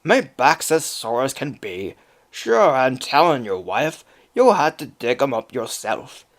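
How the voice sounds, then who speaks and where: A man's voice: medium pitch, very animated, a little slow. A man voicing a lazy, whining Irish husband with a put-on Irish accent. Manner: whiny, dramatic complaining.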